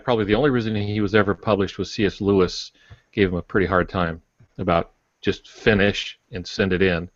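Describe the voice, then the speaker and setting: A man's voice: on the low side, no room sound. An older man co-hosting a podcast, giving casual advice in a wry, folksy way. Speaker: podcast co-host. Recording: dull, dry lo-fi audio.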